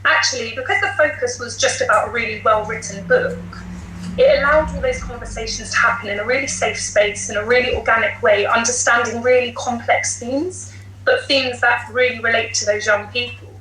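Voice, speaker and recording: Bright British woman in a roomy echo. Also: a little high, fairly even intonation, brisk. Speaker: teacher, podcast guest. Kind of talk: considered discussion. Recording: roomy echo, light background noise.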